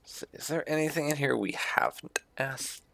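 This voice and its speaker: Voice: medium pitch, moderate pace, creaky, fairly bright tone, no room sound, in a whisper. A video game streamer giving casual, rambling let's-play commentary with wry asides.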